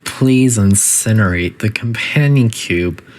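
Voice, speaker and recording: A man's voice: lowish voice, lively intonation, takes it slowly, darkish tone. A man voicing a coolly polite, faintly menacing facility AI that gives formal, detached test-chamber announcements in a video game. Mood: clinical, detached.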